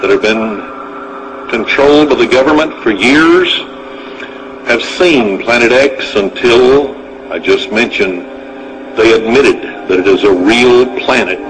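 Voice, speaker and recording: An American man delivering a dramatic radio monologue in an emphatic, deliberate manner with pointed pauses. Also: medium pitch, a bit bright, slight background noise, rough recording. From United States. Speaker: radio speaker. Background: music.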